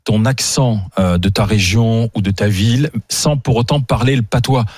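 A man's voice: lowish voice, moderate pace, darkish tone, no room sound. A man hosting a radio talk show, explaining things in an easygoing, conversational way at a studio microphone. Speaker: radio host.

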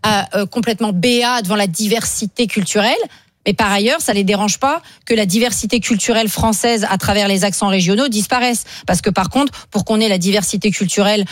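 A woman's voice: mid-range voice, a little fast, no room sound. A woman on a radio panel, speaking in an opinionated, emphatic way in a studio discussion. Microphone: studio microphone.

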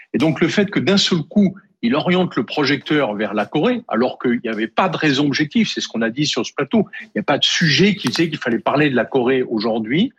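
A man giving a measured analysis as a guest on a TV news panel. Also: mid-range voice, breathy edge. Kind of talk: TV panel debate. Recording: dull lo-fi sound.

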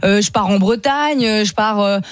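A woman panelist from Savoie talks at length in a radio debate, pushing her point with quick fillers and restarts. Her voice sits at an average pitch. It is recorded dry and close, with no room echo. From France, Savoie. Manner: talkative, defends her view with many fillers.

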